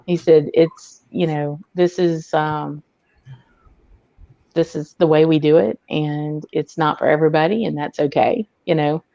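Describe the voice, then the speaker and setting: A woman with a mixed Southern accent tells stories as a podcast guest, filling pauses with ums and breaking into laughs. Her voice sits low. The sound is dry and a bit muffled. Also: fairly even intonation, creaky, dark tone, no echo. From Arkansas, US. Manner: chatty storyteller with frequent ums and laughs. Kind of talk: casual chat.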